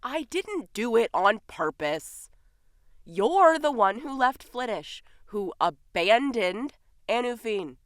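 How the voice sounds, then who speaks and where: A woman's voice: a little high, sing-song, takes it slowly, no room sound, studio quality. A woman acting in an audio drama as a flustered, defensive human man posing as a fairy king, with a theatrical manner. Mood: frantic, exasperated.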